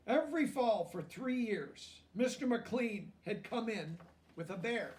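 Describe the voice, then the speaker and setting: High-pitched man reading aloud, distant from mic. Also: animated, slight background noise. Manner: pauses to explain words and check understanding in plain language. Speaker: storybook reader teaching children. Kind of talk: children's read-aloud with explanatory asides.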